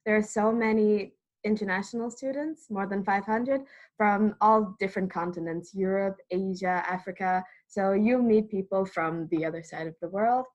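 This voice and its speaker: Woman, 18, from Ireland, student presenter, upbeat promotional tone, mid-pitched voice. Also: moderate pace, poor audio. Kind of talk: webinar presentation.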